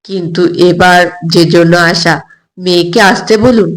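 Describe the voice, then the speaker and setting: A courteous middle-aged family man played by a woman with her voice dropped in an audio story. He speaks in a measured, formal way. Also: lowish voice, fairly even intonation, voice pushed down, close to an everyday voice.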